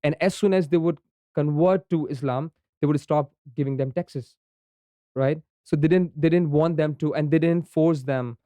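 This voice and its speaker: Pakistani man explaining, mellow smooth voice. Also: on the high side, normal speed, dry recording. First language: Urdu. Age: young adult. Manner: frequent ums and repeated "right?" tags. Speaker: podcast guest. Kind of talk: conversational explanation.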